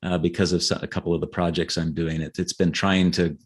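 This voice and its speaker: A man's voice: low voice, level tone, brisk, husky. A middle-aged man chatting earnestly as an interview guest, often repeating words and checking in with "right?". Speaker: author guest. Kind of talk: casual interview chat.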